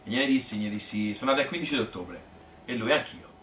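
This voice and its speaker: A man's voice: mid-range voice, lively intonation, fast talker, natural voice. Man playing a chatty Tuscan woman, echoey distant recording. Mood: fond, insistent. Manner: rambling, folksy, filler-laden. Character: chatty, self-amused Tuscan woman reminiscing about courtship. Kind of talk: theatrical monologue, colloquial storytelling. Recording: echoey room, distant mic, hissy noise.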